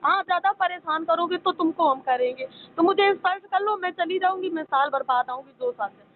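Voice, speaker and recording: High-pitched pleading woman on tinny phone. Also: fairly quick. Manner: insistent, pleading, repetitive. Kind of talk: emotional private phone argument. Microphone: phone call. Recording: narrow tinny phone line, faint noise.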